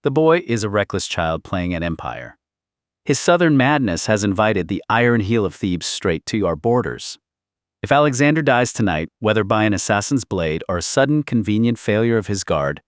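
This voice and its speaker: A man's voice: lowish voice, dry recording, clean studio sound. A man voicing a bitter, contemptuous young nobleman in an audiobook, scornful and intense.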